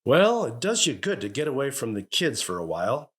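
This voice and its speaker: An actor playing a gruff but soft-hearted middle-aged father in a radio sitcom, with an American accent and a blustering, exasperated manner. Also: mid-range voice, very animated, fairly quick, natural voice. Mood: musing, blustering.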